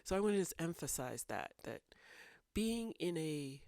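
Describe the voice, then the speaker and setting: A woman's voice: sits low, sing-song, moderate pace, a little mellow, no room sound, clean studio sound. A woman in her thirties hosting a writing vlog, a little congested from a cold, talking thoughtfully and meandering with fillers. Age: 30s. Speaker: writing channel host. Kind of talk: casual talking-head vlog.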